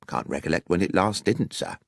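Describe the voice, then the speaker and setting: A man plays a tidy country station master, low-voiced and deferential, plain and sure in his answers. Close and dry. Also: expressive, brisk, vocal fry, dry recording, heavily acted. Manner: polite, deferential, plain-spoken. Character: neat, orderly male rural station master, deferential.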